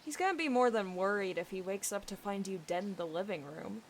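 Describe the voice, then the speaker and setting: A woman playing a sharp-witted, persistent young newspaper reporter in an audio drama, probing and wry. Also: medium pitch, a little fast, a little hiss or hum, natural voice.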